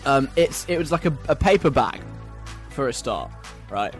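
A man's voice: medium pitch, animated, normal speed, soft background music. A young British man hosting a radio show in a conversational, wry, chatty style.

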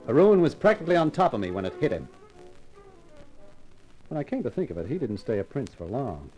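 An actor playing a wry, tough private eye in a 1950s radio crime drama. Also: male voice, mid-range voice, very animated, a little fast, a little mellow, faint music behind, lightly acted, poor audio. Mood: tense narration. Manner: wry, wisecracking. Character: wisecracking tough private eye, brave and flirtatious. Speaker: lead actor and narrator.

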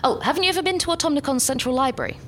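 A woman playing a brisk, scheming but tactful female spaceship captain in a live sci-fi comedy audio drama. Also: sits high, fairly bright tone. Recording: live stage recording with faint noise.

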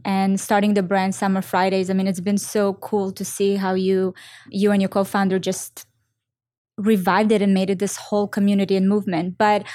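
Woman host, friendly curious questions, dry close mic, mid-pitched voice. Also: a little mellow, no room sound. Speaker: podcast host. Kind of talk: casual interview conversation.

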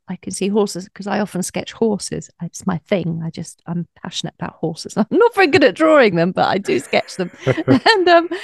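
A woman with a mid-pitched voice roughened by a slight croak and some gravel. She talks through her ideas in a relaxed, explanatory way. The sound is dry and close. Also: no room sound. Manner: thoughtful, explanatory, light laughs. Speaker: psychic medium guest. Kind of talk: conversational interview answers.

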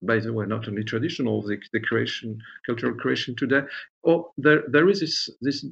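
A man with a French accent speaks in a husky, creaky voice, recorded with dull, lo-fi sound. He gives formal, diplomatic answers, pausing and repeating words as he searches for the right phrase. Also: medium pitch, steady tone, a bit bright. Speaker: diplomat being interviewed. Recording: dull, lo-fi audio.

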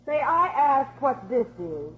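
An actress playing a prim, earnest official with a formal, reproving manner in a 1950s radio drama. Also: female voice, high-pitched, very animated, takes it slowly, a little hiss or hum, exaggerated voice. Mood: stiff, disapproving. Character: prim, earnest official Miss Singleton, disapproving. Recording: thin, muffled 1950s radio broadcast.

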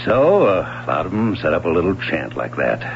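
A man's voice: sits low, sing-song, a little fast, vocal fry, exaggerated voice. A man in a radio drama playing a genial, obliging fellow with an easygoing manner, on an old broadcast recording. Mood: amused. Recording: muffled radio broadcast, faint hum.

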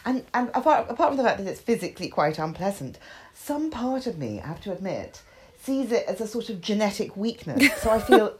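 A woman's voice: mid-range voice, very animated, a little fast, slightly rough. A snuffly woman with hay fever chatting casually with a friend, teasing her with dry wit. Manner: dry, teasing wit, mock-serious asides. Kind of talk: casual chat between friends.

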